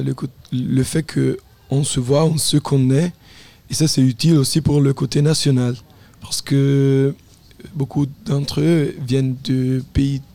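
A young man with an Italian accent speaks slowly and haltingly, stopping to search for his words. His voice is dark and gravelly. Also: medium pitch. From Italy. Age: 20s. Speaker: guest, climate activist. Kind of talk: radio interview.

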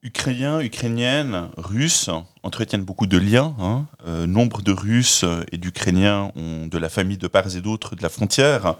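A man hosting a podcast, reading a scripted opening and then asking measured, articulate interview questions. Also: fairly low pitch. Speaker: podcast host. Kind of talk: interviewing, partly scripted intro.

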